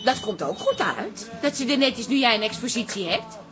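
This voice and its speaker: A woman's voice: fairly high pitch, expressive, brisk, over music, close to an everyday voice. An actress playing a kindly, motherly woman who coaxes and encourages in a radio comedy-drama. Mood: encouraging. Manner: coaxing, motherly.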